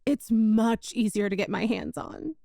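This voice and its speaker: A woman's voice: mid-range voice, lively intonation, measured pace, vocal fry, warm tone, no room sound, studio quality. A woman playing a sweet, possessive young witch shopkeeper in an ASMR roleplay, with a coy, doting, teasing manner. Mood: gleeful, doting. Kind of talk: ASMR romantic roleplay audio.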